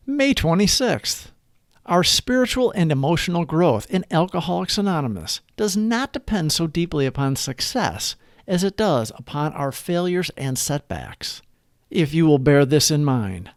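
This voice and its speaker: A man reading a scripted daily reflection aloud in a measured, earnest, instructive way. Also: fairly high pitch, gravelly, dry recording, clean studio sound. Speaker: reader of a daily recovery meditation.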